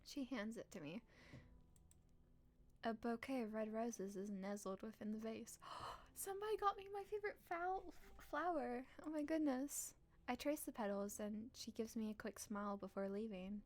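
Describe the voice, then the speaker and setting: A woman streamer, playful and expressive, reading a visual novel aloud and chatting on a live stream. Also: on the high side, normal speed. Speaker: game streamer. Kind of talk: live stream chat and read-aloud narration.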